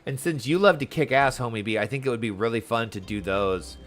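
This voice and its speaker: A man's voice: medium pitch, a little fast, a bit bright. A man giving casual game commentary as a streamer, chatty, playful and easily sidetracked. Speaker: gaming streamer.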